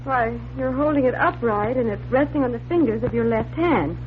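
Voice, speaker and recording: An actress playing a lively, inquisitive magician's assistant in a 1940s radio drama, expressive and eager. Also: female voice, on the high side, normal speed, a little hiss or hum, close to an everyday voice. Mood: intrigued. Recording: muffled, humming 1940s radio recording.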